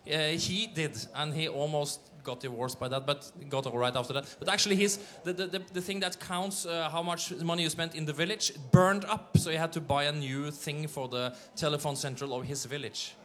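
A Norwegian man, an accordion player, chatting playfully on stage with hesitant, drawn-out fillers. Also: on the high side, steady tone, fairly bright tone. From Norway. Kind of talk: onstage interview banter.

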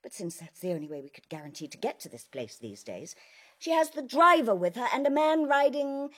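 A woman playing a brisk, haughty character, exasperated and curt with her former husband. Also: fairly high pitch, sing-song, a bit bright.

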